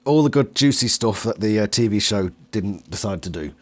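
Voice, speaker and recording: A man's voice: on the low side, animated, normal speed. A man with a British accent, interviewing a guest in a casual, chatty style. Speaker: interviewer, channel host.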